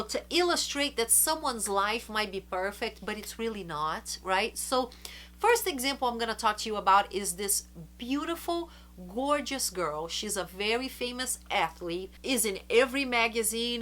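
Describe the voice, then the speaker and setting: Chatty congested woman vlogger, bright voice. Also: medium pitch. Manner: earnest, chatty, slows to stress words. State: congested from a flu. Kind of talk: casual advice to camera.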